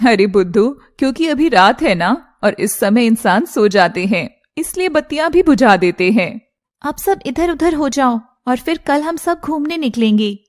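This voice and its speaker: A woman's voice: on the high side, animated, normal speed, close to an everyday voice. A woman voicing a playful young serpent girl in a cartoon, chirpy and girlish. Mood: curious. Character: playful young female shape-shifting serpent girls.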